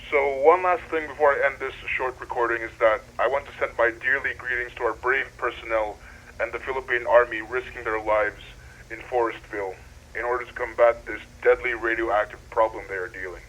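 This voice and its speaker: A man playing an earnest, wonderstruck deep-sea researcher speaking slightly formally into a recorded log for an analog horror drama. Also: fairly even intonation, a little fast, fairly bright tone, lightly acted, poor audio. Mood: calm, signing off. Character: excitable male deep-sea researcher keeping an audio log.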